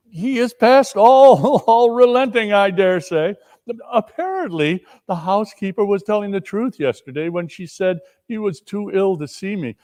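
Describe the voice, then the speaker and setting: A man's voice: sits high, a little mellow, pitched above the performer's own voice. A man voicing a weary, relieved young husband in debt, wry and earnest. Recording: reverberant hall.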